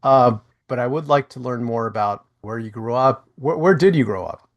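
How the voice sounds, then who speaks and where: A male interview host speaks over a video call at an ordinary middle pitch. He asks earnest questions, his energy lifting as he asks, with a few stammered restarts. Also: moderate pace. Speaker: podcast host.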